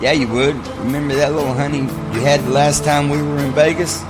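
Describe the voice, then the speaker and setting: An actor playing a nosy, teasing mercenary in an audio drama. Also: male voice, on the high side, fairly even intonation, a little fast, loud music behind the voice, lightly acted.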